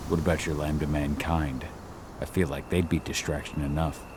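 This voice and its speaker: An actor playing a terse, serious, gruff exiled warrior and father in a video game cutscene. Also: rumbling low, level tone, normal speed, background noise. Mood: sober.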